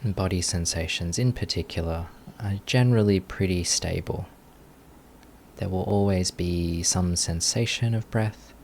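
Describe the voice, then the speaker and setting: A man leading a guided meditation as a teacher, giving gentle, unhurried instructions with long pauses between phrases. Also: low voice, gravelly, slight background noise.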